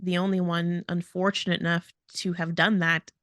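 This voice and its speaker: A woman's voice: fairly low pitch, little pitch movement, moderate pace, a bit bright, no echo, studio quality. A woman co-hosting a podcast in casual chat, speaking in an emphatic, plainspoken way. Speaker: podcast co-host.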